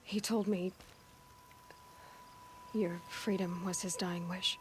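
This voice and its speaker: An actress playing a stern but compassionate young huntress in a video game, with a direct, intense manner. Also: female voice, lowish voice, flat delivery, slow pace, slight background noise. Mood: gentle, reassuring.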